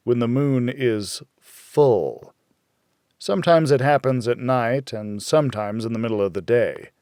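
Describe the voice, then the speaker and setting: A man reading a hardboiled first-person thriller as an audiobook narrator, in a measured, dramatic storytelling way. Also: mid-range voice, darkish tone, no echo, studio quality.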